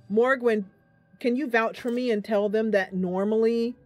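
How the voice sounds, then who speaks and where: A woman hosting a casual game livestream, chatty and animated, talking through her play and reading bits of story aloud. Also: a little high, slow pace, dry recording, soft background music. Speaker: streamer and host playing a fantasy role-playing game. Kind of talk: casual livestream gameplay commentary with narration read aloud.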